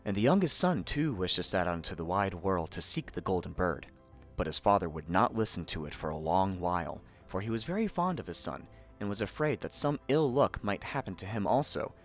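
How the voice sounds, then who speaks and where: Male narrator, lowish pitch, animated intonation, theatrical fairy-tale storytelling, soft background music. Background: faint music.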